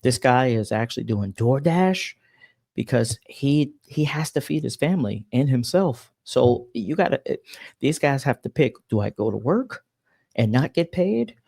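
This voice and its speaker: A man's voice: medium pitch, expressive, a little slow, vocal fry, darkish tone, no room sound. Exasperated male commentator, close dry sound. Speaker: commentary show host. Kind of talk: casual news commentary.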